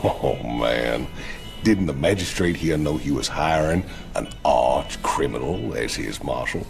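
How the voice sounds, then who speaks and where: Gravelly, bottomless-voiced outlaw ex-convict. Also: male voice, expressive, measured pace, music in the background, full character voice. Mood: amused. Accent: American. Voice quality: very deep gravelly drawl. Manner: swaggering, teasing, folksy. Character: huge gruff cocky ex-convict outlaw, a boastful old partner. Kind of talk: video game cinematic acting.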